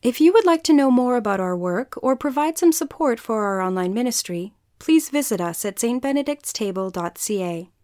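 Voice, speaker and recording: A woman's voice: on the high side, animated, normal speed, few pauses, dark tone. A woman hosting a podcast, reading scripted closing narration. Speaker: podcast host.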